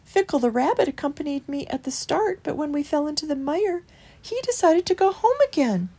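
A woman's voice: sits high, big pitch swings, fairly quick, warm tone, voice pushed up. A woman voicing a small, timid male mouse with a plaintive, anxious manner in a storybook. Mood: sad. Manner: stammering, plaintive.

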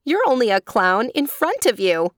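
A woman voicing an outspoken, curious little girl in a children's story, frank and quick to reprove. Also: on the high side, big pitch swings, dry recording, studio quality, voice pushed up. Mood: amused. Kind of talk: children's storybook character reading.

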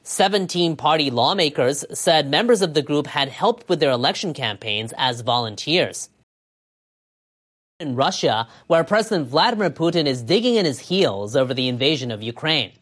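A woman news anchor reading scripted reports in a measured, formal delivery. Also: sits low, a bit bright.

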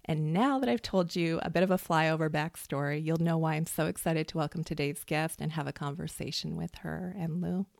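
A woman's voice: sits low, brisk, creaky, hardly any pauses, darkish tone, no echo, studio quality. A woman hosting a podcast, introducing and chatting casually in a gushing, exclamatory way. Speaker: podcast host. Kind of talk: warm-up introduction and casual chat.

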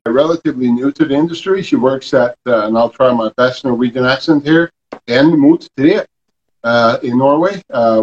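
Man hosting with halting filler-filled speech. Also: mid-range voice, a little mellow, reverberant, highs cut off. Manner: halting, many fillers and restarts. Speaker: podcast host. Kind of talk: casual interview chat.

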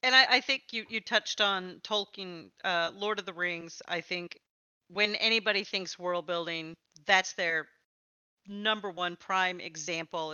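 Woman podcast host, bright forceful voice on the lower side, kinda dull dry recording. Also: clear, resonant tone, no echo. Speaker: podcast host interviewing a guest. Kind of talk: casual live podcast interview.

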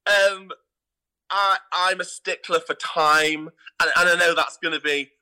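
A man's voice: fairly high pitch, fairly even intonation, unhurried, bright, forceful tone, smooth. A British man chatting enthusiastically and casually as a theatre director being interviewed on a podcast.

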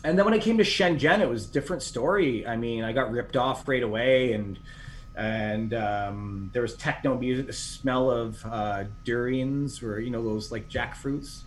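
A man's voice: medium pitch, normal speed, a little hiss or hum, low-quality recording. Rambling self-deprecating man. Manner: rambling, self-deprecating, many restarts and fillers. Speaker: podcast guest. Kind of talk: casual conversational storytelling.

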